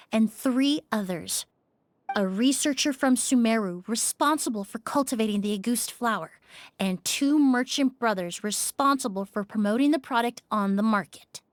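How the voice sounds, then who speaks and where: An actress voicing a composed female perfumer in a game, speaking in a calm, measured, precise way. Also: a little high, slight background noise, lightly acted. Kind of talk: game voice acting. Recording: dry studio recording.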